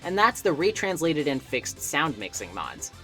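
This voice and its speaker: A man's voice: fairly high pitch, very animated, moderate pace, bright, forceful tone. A man delivering scripted video essay narration in an emphatic, conversational and wry manner. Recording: music playing behind the voice. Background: background music.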